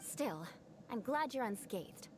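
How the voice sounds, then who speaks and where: A woman's voice: a little high, animated, slow. A woman playing a proud, haughty young noblewoman knight in an anime game, speaking dramatically. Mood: proud, defensive. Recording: noisy, game ambience behind voice.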